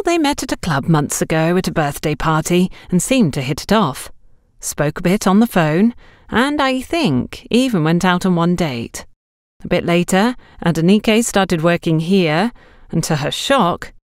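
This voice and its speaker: A woman's voice: fairly low pitch, sing-song, moderate pace, no room sound, clean studio sound. A woman voicing a young saleswoman in an audiobook, chatty, candid and emphatic. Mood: gossipy. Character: young shop saleswoman, candid, animated, gossipy.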